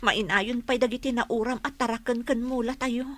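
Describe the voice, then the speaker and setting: An actress playing a determined, compassionate young village daughter in a radio drama. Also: female voice, fairly high pitch, fairly even intonation, moderate pace, a bit bright, lightly acted. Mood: grieved, resolute.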